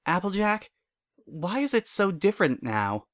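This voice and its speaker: Man voicing an anxious, bookish young pony mare, raised pitch. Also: big pitch swings, a little slow, a bit bright, no room sound, clean studio sound, full character voice. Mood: puzzled. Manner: earnest, fretful, careful diction. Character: young female pony scholar, earnest, bookish, anxious worrier. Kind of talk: dramatic fan fiction reading.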